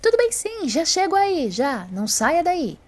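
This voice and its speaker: A woman playing a cheerful, energetic female time-traveling doctor in an audio drama, speaking with eager, polite curiosity. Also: very high voice, a little slow, voice pushed up, heavily acted. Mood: forced cheer.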